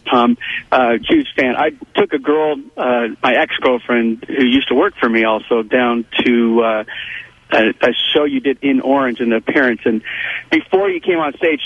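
Over a thin, dry phone line, a man calls in to a radio show. His voice sits at a middle pitch and he talks in a casual, everyday way. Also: dry recording. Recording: narrow, tinny phone line.

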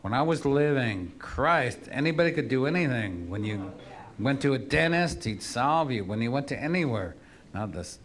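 A man reading his poems aloud to an audience, drunk, gruff and profane, rambling between pieces. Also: medium pitch, animated, normal speed, fairly bright tone. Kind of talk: live poetry reading with rambling asides.

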